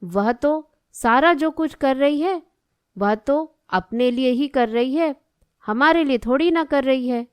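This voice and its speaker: A woman's voice: sits high, unhurried, clean tone, no echo. A woman voicing a stern, resentful middle-aged mother in a cartoon story, arguing bossily and insistently. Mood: defensive, scolding. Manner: argumentative, insistent, bossy. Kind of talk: Hindi cartoon story dialogue.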